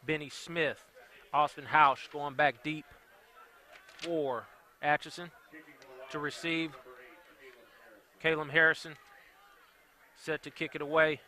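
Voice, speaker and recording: A young man calling a high school football game play by play, upbeat and quick. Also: flat delivery, sharp tone. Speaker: play-by-play announcer.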